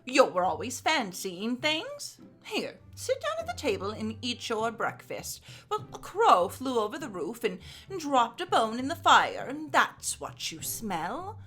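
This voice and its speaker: A woman's voice: on the high side, wildly swooping pitch, measured pace, few pauses, fairly bright tone, husky, soft background music, pitched above the performer's own voice, full character voice. A woman voicing a fretful, scolding giant's wife in a read-aloud fairy tale, fussing in a raised voice. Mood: dismissive.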